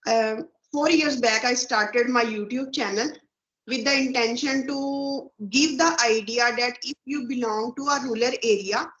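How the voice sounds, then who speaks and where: A woman's voice: on the high side, unhurried, a bit bright. A woman speaking as an interview guest in a formal, encouraging, speech-like way. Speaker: guest YouTuber. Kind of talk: interview answers. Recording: muffled, roomy audio.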